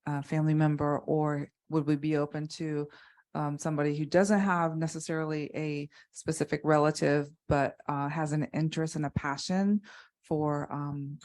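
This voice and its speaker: A woman on a conference panel speaking about how her foundation's board raises funds, in a halting way with many restarts. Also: deep voice, flat delivery, a little mellow. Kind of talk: unscripted panel discussion.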